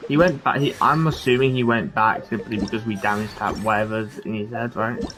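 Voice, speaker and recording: A man's voice: on the low side, brisk, fairly bright tone. A man doing casual game commentary, slangy and thinking aloud as he goes. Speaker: gaming streamer. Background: game music.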